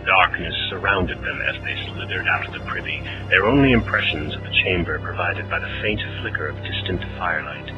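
A man giving measured, dramatic scripted narration for a fantasy audio drama. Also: sits low, dull audio. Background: orchestral game music.

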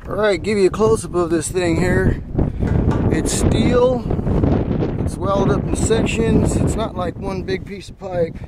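A man's voice: high-pitched, unhurried. A man chatting casually and offhandedly as he films himself outdoors, in short rambling remarks. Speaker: vlogger filming a hike. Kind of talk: casual rambling chat while filming. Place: outdoors on a windy rocky desert hillside. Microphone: handheld camcorder built-in mic. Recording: heavy wind noise on camera mic.

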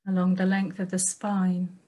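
A woman guiding a meditation in gentle, measured phrases with long pauses between them. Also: fairly low pitch, monotone. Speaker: meditation teacher. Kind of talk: guided meditation.

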